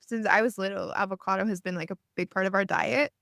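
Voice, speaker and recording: A woman's voice: medium pitch, fairly even intonation, fast talker, vocal fry, fairly bright tone, no room sound, studio quality. Upbeat young woman podcast host. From El Salvador. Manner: upbeat, says "like" often. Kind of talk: casual interview chat.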